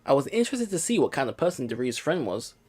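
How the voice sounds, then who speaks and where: A man's voice: medium pitch, sing-song, fast, a little mellow, husky. A man hosting a let's play, reading narration aloud and adding casual commentary, with music behind.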